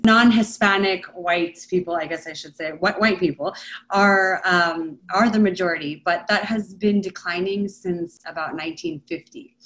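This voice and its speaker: A woman's voice: fairly low pitch, rough recording. A woman from Los Angeles, a company founder, talking as a guest in a chatty, enthusiastic way. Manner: chatty and enthusiastic, frequent fillers and laughs. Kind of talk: casual interview talk.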